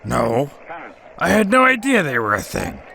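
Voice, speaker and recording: A man playing a shifty, nervy 1940s gangster henchman in a noir audio drama, talking slangy underworld talk in a gravelly voice. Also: fairly high pitch, huge pitch swings, normal speed, crowd noise, full character voice. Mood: nervy, deferential. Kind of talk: noir radio drama phone conversation.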